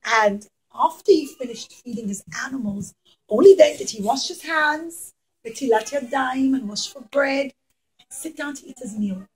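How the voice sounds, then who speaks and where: A woman's voice: high voice, normal speed, husky, poor audio. A woman telling a story to young children as an interactive puppet show, speaking emphatically, repeating phrases and putting questions to them.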